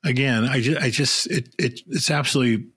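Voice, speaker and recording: A man's voice: mid-range voice, fairly quick, a bit bright, breathy edge, dry recording, studio quality. A man hosting an interview podcast, chatty and enthusiastic, with jokey asides. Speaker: podcast host. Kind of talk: conversational interview.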